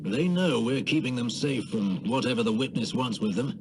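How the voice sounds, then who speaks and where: A man's voice: mid-range voice, expressive, moderate pace, lightly acted. A man playing a seasoned, courteous veteran soldier in a video game, composed and dryly wry. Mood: wry, pleased. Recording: loud background noise.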